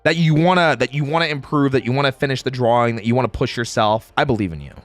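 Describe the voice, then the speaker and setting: A man's voice: mid-range voice, fast, dry recording, faint music behind. A man in a playful, casual livestream chat, reading out viewer comments and replying in slangy speech. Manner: playful, reads chat aloud and replies.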